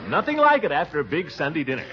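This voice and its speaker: An actor playing a blustery, pompous middle-aged uncle, booming and hearty with chuckling laughs, in 1940s radio comedy. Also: male voice, big pitch swings, rapid-fire, a loud crowd. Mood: bossy. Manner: hearty and bossy, stretches out his words. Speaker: head of the household. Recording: dull 1940s radio broadcast.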